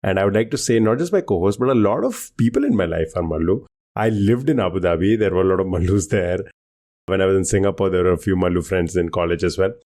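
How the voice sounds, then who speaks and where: A man's voice: low voice, expressive, fast, a little mellow. A man whose first language is Hindi, co-hosting a podcast in casual, enthusiastic chat with lots of fillers and restarts. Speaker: podcast co-host.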